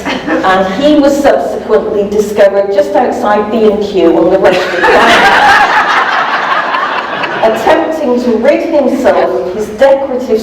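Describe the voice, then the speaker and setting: A woman author giving a live comic reading from a novel with a wry, amused manner. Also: on the high side. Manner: wry, amused, often chuckling. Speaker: author reading aloud from their own novel. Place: indoor live event room. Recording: echoey room, distant mic, background noise.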